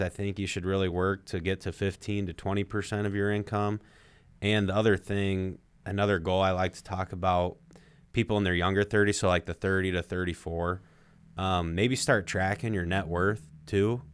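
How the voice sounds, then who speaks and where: Young man, money-show co-host, clean smooth tone, conversational advice, tight dry recording. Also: lowish voice, no room sound. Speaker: co-host and financial advisor.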